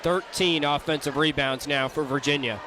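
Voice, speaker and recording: A man's voice: a little high, steady tone, moderate pace. A man giving live game analysis as a color commentator. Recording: noisy arena with crowd.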